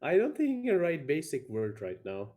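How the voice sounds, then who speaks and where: Man in his twenties, lively crude banter. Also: fairly high pitch, moderate pace. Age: 20s. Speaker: stream co-host. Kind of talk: casual chat, banter.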